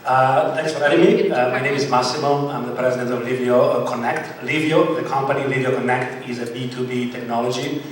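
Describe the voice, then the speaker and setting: A company president introducing himself on a panel, brisk and plain. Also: male voice, mid-range voice, steady tone. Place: event room. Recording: echoey hall mic from far off, background noise.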